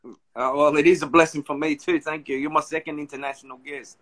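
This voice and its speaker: A man hosts a relaxed interview from a small room, talking into his phone. His voice sits a touch higher than most men's, and he circles around his questions with many restarts. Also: a little fast. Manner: laid-back, rambling questions with many restarts. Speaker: host. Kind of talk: casual interview on a live video call. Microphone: phone front camera.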